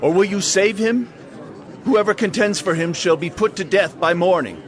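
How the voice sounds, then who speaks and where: A man's voice: high-pitched, normal speed, close to an everyday voice. A man playing a defiant father facing down a mob in a dramatized scripture reading, challenging and emphatic.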